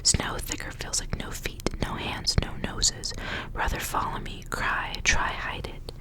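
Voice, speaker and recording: Whispering woman reading a story. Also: a little slow. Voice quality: breathy, unvoiced whisper. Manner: soft, hushed narration in short phrases. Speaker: ASMR reader.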